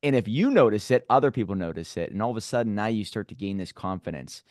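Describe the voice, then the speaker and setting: A man in his late thirties, hosting a podcast, who talks in an animated, emphatic way and swears casually. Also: lowish voice, fast talker, no room sound, clean studio sound. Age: 30s. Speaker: podcast host. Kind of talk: casual interview talk.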